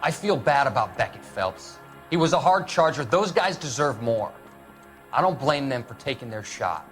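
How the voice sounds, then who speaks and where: A man's voice: mid-range voice, very animated, moderate pace, fairly bright tone, faint music behind. A man playing a defensive, evasive suspect under questioning in a dramatic video game. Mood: earnest, regretful.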